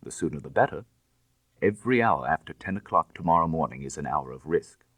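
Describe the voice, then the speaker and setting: A man plays a deep-voiced gentleman thief from a classic crime story, suave and cynical. His delivery is breezy and commanding, swinging widely in pitch as he teases in old-fashioned upper-class slang. The sound is close and very dry. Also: expressive, a little fast, no room sound, full character voice. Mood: cool, assured. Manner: jaunty, teasing, Edwardian gentlemanly slang. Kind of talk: dramatised audiobook reading of classic fiction.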